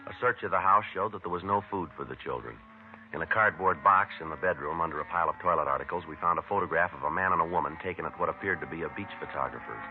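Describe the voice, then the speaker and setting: An actor playing a terse police detective who narrates a case in a clipped, deadpan, matter-of-fact way, in a 1950s radio crime drama. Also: male voice, low-pitched, fast, faint music behind. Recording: tinny vintage radio broadcast.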